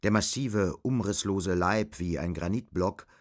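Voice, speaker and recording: Male narrator for radio play, low-pitched voice, literary scripted delivery. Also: unhurried.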